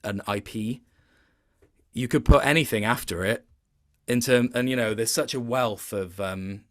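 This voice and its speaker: A man speaking as an interview guest in a casual chat, thoughtful and halting, often reworking his sentences as he goes. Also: mid-range voice. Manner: thoughtful, halting, with many self-corrections.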